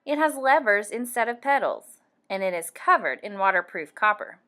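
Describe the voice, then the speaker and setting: A woman reading a picture book aloud for young children, animated and deliberate, acting out the sound effects. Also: medium pitch. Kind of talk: expressive read-aloud storytelling for young children.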